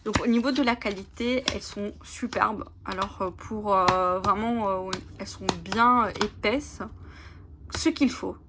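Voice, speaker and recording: A woman whose first language is Italian, casually presenting oracle cards, reading their lines aloud slowly and whispering in awe, with many fillers. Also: mid-range voice, noisy background. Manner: soft, often whispering in awe, hesitant with fillers. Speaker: oracle card channel host.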